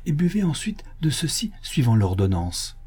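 A man's voice: a little high, big pitch swings, moderate pace, a little mellow, husky, full character voice. A man voicing a devoted, eager majordomo in a storybook, deferential and urging as he serves his master. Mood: urgent.

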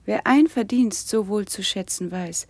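An actress playing a gentle, wise princess in a classical verse radio drama, with soft, measured, breathy declamation. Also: female voice, medium pitch. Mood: gracious. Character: gentle noble princess, wise, soothing, reassuring.

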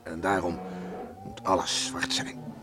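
An actor playing a stubborn, devout husband weighed down by guilt, grim and curt, in a Dutch radio play. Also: male voice, deep voice, flat delivery, takes it slowly, noisy background. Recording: old radio play recording.

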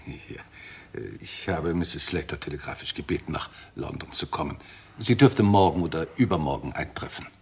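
An actor playing a formal, circumspect lawyer in a German radio crime drama, speaking precisely and deliberately. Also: male voice, a bass voice, slightly rough, full character voice. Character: formal, circumspect male lawyer reporting a will.

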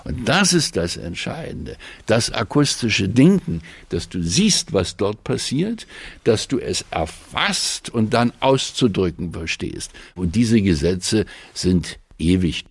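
An older man, a veteran radio maker, explaining his craft in an emphatic, deliberate and instructive way. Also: on the low side, sing-song, gravelly, breathy edge. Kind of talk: emphatic, reflective explaining in conversation.

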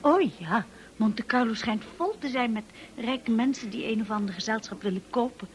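An actress playing a shy, naive young paid companion in a radio play, hesitant, timid and polite. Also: female voice, on the high side, expressive, normal speed. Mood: shy, earnest. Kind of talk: radio drama acting.

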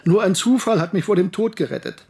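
Embittered professor, slightly husky. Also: male voice, high voice, brisk, a little mellow. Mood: bitter, accusing. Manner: insistent, rhetorical, defensive. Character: anguished, embittered male German professor recounting his past. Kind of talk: radio drama narration and dialogue.

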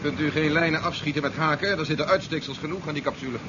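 A man playing a high-pitched, rapid-fire curt general. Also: fairly even intonation, natural voice. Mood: insistent. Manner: brisk, commanding, impatient. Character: curt, domineering male general commanding a recovery operation. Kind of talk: 1970s Dutch science fiction radio drama acting. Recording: dull, noisy 1970s radio recording.

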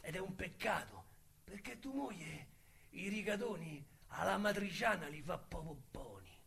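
A bragging Roman crook. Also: male voice, high-pitched, very animated, normal speed, gravelly, husky, heavily acted. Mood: menacing. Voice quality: colorful slangy storyteller. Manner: swings from loud bragging to hushed menace. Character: boastful small-time male crook recounting robberies. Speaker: narrator-protagonist. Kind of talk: radio drama monologue.

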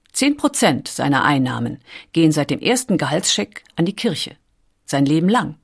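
A woman reading scripted documentary narration with a measured, emphatic storytelling delivery. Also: fairly low pitch, slightly rough.

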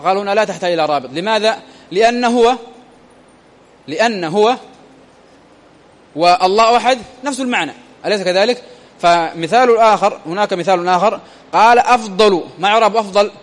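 A man's voice: sits high, a little fast, long pauses, long reverb tail. A man teaching a grammar lesson, repeating phrases and quizzing his listeners with rhetorical questions.

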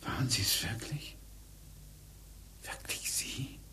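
An actor in a radio play playing a shaken cable technician who mutters haltingly to himself. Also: male voice, sharp tone, slight background noise. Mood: stunned disbelief.

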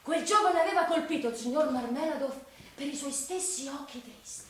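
A woman's voice: high-pitched, fairly quick, a bit bright, a little hiss or hum. A woman narrating a tragic radio play as a solemn storyteller, with scripted dramatic delivery. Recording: very echoey, distant from mic.

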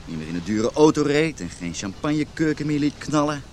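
A man's voice: a little high, very animated, a little fast, a little hiss or hum. An actor playing a disillusioned, self-pitying married man in his thirties in a naturalistic radio drama. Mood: rueful.

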